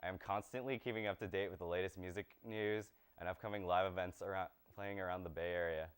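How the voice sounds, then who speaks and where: A man's voice: deep voice, fairly even intonation, fast talker, smooth. A young man presenting from a small TV studio, delivering scripted lines in an earnest, rehearsed way. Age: young adult. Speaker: student presenter.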